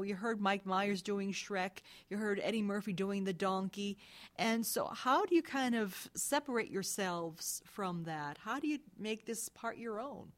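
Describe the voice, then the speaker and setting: Effusive woman interviewer. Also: medium pitch. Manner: effusive, laughs often. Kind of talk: interview chat.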